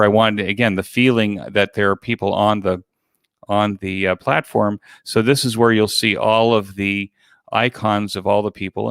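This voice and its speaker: A man gives a conversational walkthrough as the guest in an interview. His voice is on the low side and very clean and smooth. He explains things step by step, and the sound is dry and close. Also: fairly bright tone, no echo. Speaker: interview guest, online event organizer.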